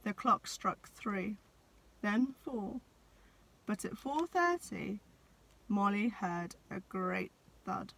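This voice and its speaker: Slow, expressive woman reading a storybook. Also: mid-range voice, low-quality recording. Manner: dramatic pauses, addresses young listeners. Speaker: teacher reading a picture book. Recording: clear background noise.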